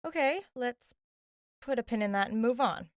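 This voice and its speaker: A woman's voice: on the high side, expressive, moderate pace, dry recording, studio quality, natural voice. A woman playing a skeptical, curious young woman in an audio drama, questioning in a casual, dryly incredulous way.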